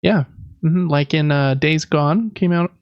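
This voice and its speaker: A man's voice: on the high side, a little slow, clear, resonant tone, no room sound, lo-fi sound. A man hosting a podcast, chatting casually and informally through gaming news. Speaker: podcast host. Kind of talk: casual video game news talk.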